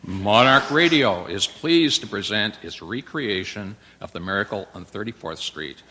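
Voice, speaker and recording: A man hosts a live stage performance and reads the introduction aloud. His voice is bright and forceful, and he stumbles over fillers and small slips. A long reverb from the hall trails every phrase. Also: on the low side, lively intonation, unhurried. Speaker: host introducing the radio play. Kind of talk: read introduction.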